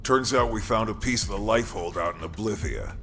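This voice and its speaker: A man playing a gruff, seasoned military commander in a video game, wry and laid-back. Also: lowish voice, lively intonation, a little fast, fairly bright tone, soft background music.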